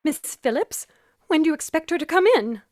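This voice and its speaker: High-pitched, fretful invalid wife, acted by a woman. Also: animated, normal speed, a little mellow, no echo, studio quality. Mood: agitated, upset. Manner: fretful, demanding, dramatic. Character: nervous, imperious invalid wife, bedridden, increasingly terrified. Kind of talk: old-time radio thriller acting.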